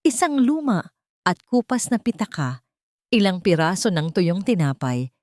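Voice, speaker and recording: A woman storyteller reading scripted dramatic fiction with solemn, deliberate emphasis. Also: on the low side, big pitch swings, darkish tone, no room sound, clean studio sound.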